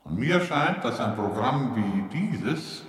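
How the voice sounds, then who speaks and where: A man playing a giant, smug, teasing supercomputer with a deliberate, condescending way of talking in a radio drama. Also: medium pitch, sing-song. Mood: smug, expansive. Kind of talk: German radio drama acting. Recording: reverberant hall, distant.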